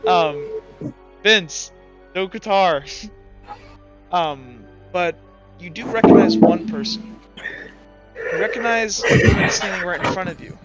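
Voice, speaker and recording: A man's voice: high voice, fairly bright tone. A man acting as game master, improvising narration and handling table talk in a tabletop roleplaying game. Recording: dull muffled audio, music behind.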